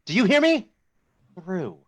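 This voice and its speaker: A man's voice: high voice, big pitch swings, measured pace, fairly bright tone, exaggerated voice. A man playing a bossy, indignant female monster girlfriend with a demanding, dramatic delivery in a script read. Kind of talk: cast table read of a film script.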